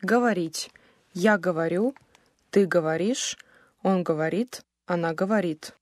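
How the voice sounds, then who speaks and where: A woman reading a textbook language lesson aloud, slowly and with careful, clear enunciation. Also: on the low side, no echo, clean studio sound. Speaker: language course reader.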